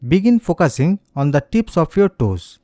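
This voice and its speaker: A man leading a slow guided meditation as an instructor, speaking in a gentle, soothing, scripted manner. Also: fairly high pitch, sing-song, no room sound, clean studio sound.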